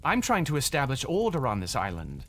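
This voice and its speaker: Audio drama role: formal, ambitious middle-aged man, very expressive pitch, some background noise. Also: fairly quick. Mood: ambitious, impatient. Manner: formal, earnest, instructive. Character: stiff, ambitious grown man, banker turned would-be island ruler.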